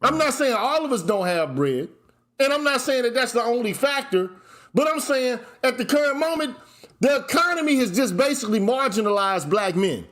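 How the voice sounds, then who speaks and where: A man's voice: extremely high pitch, sing-song, normal speed, a bit bright. A man hosting a heated panel debate, blunt and profane, hammering his points with numbers. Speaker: show host.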